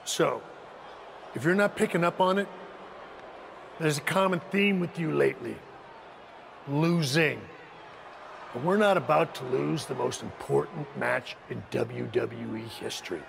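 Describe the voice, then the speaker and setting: An actor playing a scheming, condescending wrestling faction leader in a game cutscene, speaking in a measured, stern, gravelly way. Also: male voice, a little high, lively intonation, slight background noise, full character voice. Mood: scornful lecturing.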